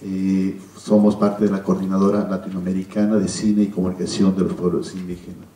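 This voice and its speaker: Low, mellow Mexican man in echoey hall. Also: completely flat delivery. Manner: measured, hesitant, pausing often. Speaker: panel speaker. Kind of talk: public round-table talk. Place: cinema auditorium stage. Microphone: handheld PA microphone, filmed from audience. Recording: echoey hall, distant camera microphone.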